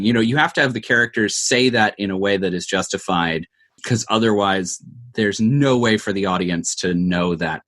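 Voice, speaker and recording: A man's voice: on the low side, a bit bright. A man giving thoughtful, conversational interview answers, explaining things step by step. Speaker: interview guest, audio drama producer.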